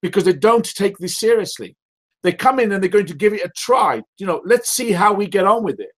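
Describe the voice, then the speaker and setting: Loud, emphatic man leading a team call. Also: high voice, expressive, a little fast. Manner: emphatic, repetitive, rhetorical questions. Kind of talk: motivational sales call. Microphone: call audio.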